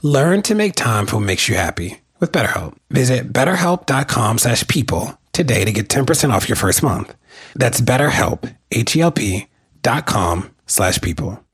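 Husky, lively American man hosting. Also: lowish voice. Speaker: podcast host and interviewer. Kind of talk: podcast hosting, sponsor reads and interview questions.

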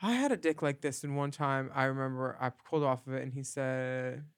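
Smooth-voiced, blunt gossip podcast host. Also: male voice, medium pitch, a little fast, no room sound, studio quality. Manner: bawdy, blunt storyteller. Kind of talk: casual gossip chat. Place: studio.

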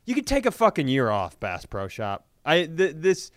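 A man's voice: fairly high pitch, huge pitch swings, normal speed, no echo. A man in casual comedic chat, telling stories in a profane, exasperated way. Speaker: comedy podcast host.

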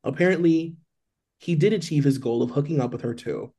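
Guy cohost dishing celeb gossip, mellow dark tone, says like constantly. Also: a little high, brisk, husky. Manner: loose and chatty, heavy on "like". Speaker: podcast co-host. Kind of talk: casual celebrity gossip chat.

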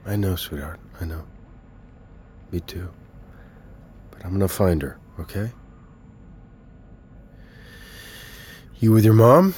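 A man's voice: sits low, animated, moderate pace, darkish tone, slight background noise. An actor playing an earnest male investigator and caring father in an audio drama, plain-spoken and hesitant but persistent. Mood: tender, reassuring. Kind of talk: naturalistic audio drama acting.

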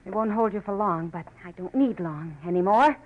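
An actress playing a cool, mysterious femme fatale in 1940s radio noir, clipped and dryly sarcastic. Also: female voice, mid-range voice, moderate pace, lo-fi sound, natural voice. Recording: noisy old radio recording with clicks.

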